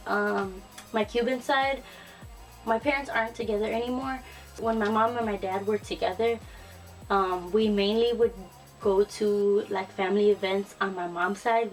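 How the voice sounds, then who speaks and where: A young woman chats casually to the camera, relaxed and full of ums and self-corrections. She sits a little back from the mic, so her voice sounds somewhat distant. Faint music plays softly underneath. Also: medium pitch. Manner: relaxed and chatty, frequent ums and restarts. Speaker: YouTuber. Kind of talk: casual tag video.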